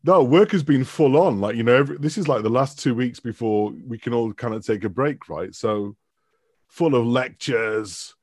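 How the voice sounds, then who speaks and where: A man's voice: medium pitch, normal speed, darkish tone, no room sound, studio quality. A middle-aged man with a Mancunian accent and a trace of Dundee, chatting loosely as a guest lecturer. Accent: Mancunian with some Dundee. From Manchester, England. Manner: chatty, rambling, laughs easily. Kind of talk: casual interview chat.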